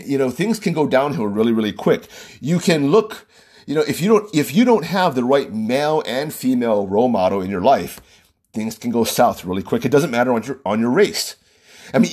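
Husky man with lively, swooping pitch. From Hawaii, USA. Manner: loose, conversational, repeats and restarts phrases. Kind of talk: opinion monologue to camera.

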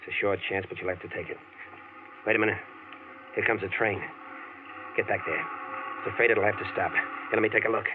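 A man acting out a hard-boiled tough guy from an old radio mystery, with a low, wisecracking drawl. The recording is thin and tinny, with faint hiss underneath. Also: steady tone, a little fast, long pauses, natural voice. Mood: urgent. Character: tough-talking man, pursuing a desperate fugitive. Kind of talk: 1940s radio drama acting.

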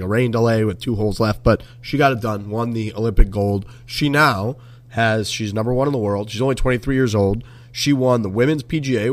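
Man, podcast host, casual sports chat, animated, swears a lot, mid pitch.

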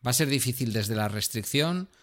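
A man from co-hosting a podcast in casual chat, talkative, playful and measured. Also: mid-range voice, expressive. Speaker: podcast co-host.

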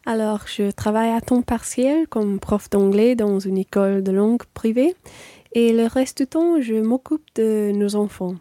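Actress as friendly working mother, radio play for learners: very soft mellow tone, dry sound. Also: fairly high pitch, normal speed, no echo, lightly acted. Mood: conversational. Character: friendly working mother chatting over dinner. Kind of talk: scripted language-course radio play.

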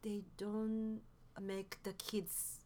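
A woman with a Japanese accent, a native Japanese speaker, chats as a podcast guest. She tells her stories hesitantly and corrects herself along the way, her pitch sits in a middle range, and a faint ambient noise hums underneath. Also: level tone, very slow. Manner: hesitant, self-correcting, soft asides. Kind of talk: casual conversational storytelling.

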